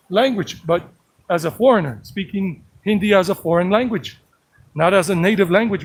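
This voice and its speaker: Rambling middle-aged man, high voice. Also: breathy edge, low-quality recording. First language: English. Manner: rambling, repetitive, chatty. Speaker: vlogger. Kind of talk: walking live stream vlog. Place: outdoors on a walk by a creek. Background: flowing creek.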